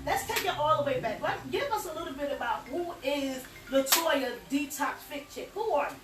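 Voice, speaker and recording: A woman hosting a casual live interview in a basement, loud, laughing often and swearing freely. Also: sits high, expressive, moderate pace, reverberant, recorded from a distance, over music. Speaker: host interviewing a business partner. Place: indoor basement room.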